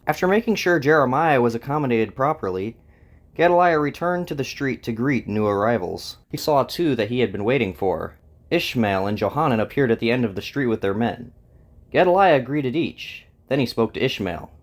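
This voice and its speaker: A man's voice: mid-range voice, lively intonation, moderate pace. A man hosting and narrating a scripted story, with plucked guitar music behind him. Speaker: host and narrator.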